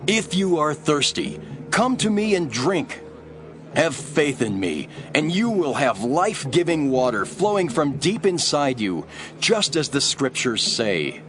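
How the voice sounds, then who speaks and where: Man playing an earnest teacher with animated, declaiming delivery. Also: fairly high pitch, a little slow, over music. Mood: proclaiming. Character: Jesus, a bold, earnest male teacher addressing crowds. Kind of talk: audio Bible drama acting.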